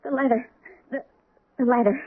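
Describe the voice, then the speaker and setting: A clingy, fearful wife pleading in a high voice. She is heard through a narrow, tinny old radio broadcast. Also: steady tone, moderate pace, slightly rough. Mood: anxious. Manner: clingy, anxious. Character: jealous, fearful young wife. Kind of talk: 1970s radio drama acting.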